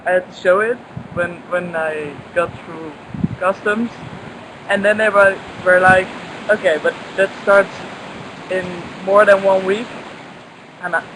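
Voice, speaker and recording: Dutch-accented woman, halting chat. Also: medium pitch, fairly even intonation, noisy background. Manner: hesitant, halting with restarts. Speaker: guest sailor. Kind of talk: casual chat.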